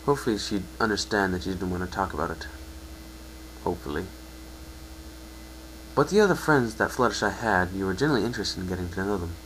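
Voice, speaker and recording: A man's voice: sits low, animated, quick pace, long pauses, fairly bright tone, low-quality recording. A man narrating a second-person story as a dramatic reading, sometimes stumbling and correcting himself. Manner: reads with stumbles and self-corrections. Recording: home recording with faint hum.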